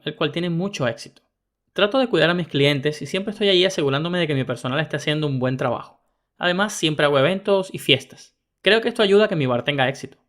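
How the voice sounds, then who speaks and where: A man narrating first-person internet stories in a brisk, even reading style. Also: on the high side. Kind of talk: scripted story narration.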